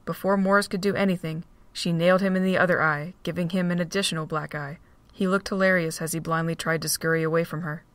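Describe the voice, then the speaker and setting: Narrator, woman, low-ish voice, dry close sound. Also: fast, no room sound. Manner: steady storytelling. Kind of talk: scripted narration of a fan audio drama.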